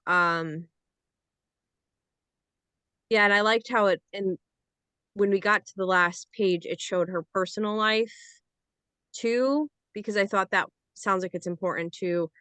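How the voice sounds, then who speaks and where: A woman's voice: mid-range voice, unhurried, long pauses, bright, forceful tone, clear, resonant tone, no echo. A woman actor on a reading panel giving her reaction to a script. She rambles, leaning heavily on "like" and "you know". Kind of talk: casual feedback discussion.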